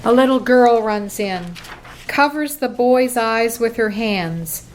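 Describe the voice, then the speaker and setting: A woman's voice: a little high, slow, slight background noise, rough recording. A woman giving scripted narration for a dramatic radio play.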